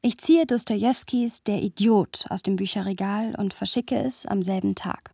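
A woman's voice: medium pitch, moderate pace, creaky, darkish tone, husky. An actress playing a thoughtful, playful young woman who narrates a literary radio play. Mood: sober, reflective. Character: thoughtful, playful young woman recounting her close male friend. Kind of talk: literary scripted narration with lively dialogue.

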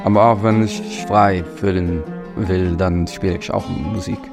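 Man, 30s, gravelly, halting German, music behind. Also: deep voice, fairly even intonation. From Palestine. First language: Arabic. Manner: halting, searching for German words. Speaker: musician interviewee. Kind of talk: interview answers.

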